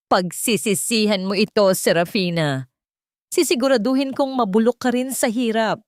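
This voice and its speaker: An arrogant, vengeful man from a melodramatic story, acted out by a woman narrator. The voice is forceful and raised in pitch. It sounds dry and close. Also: normal speed, no room sound, natural voice. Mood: bitter, threatening. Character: arrogant, vengeful disgraced husband, adult man.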